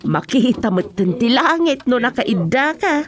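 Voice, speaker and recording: A woman's voice: fairly high pitch, very animated, a little slow. A woman playing a humble, polite village housewife in a comedic radio drama. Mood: polite, modest.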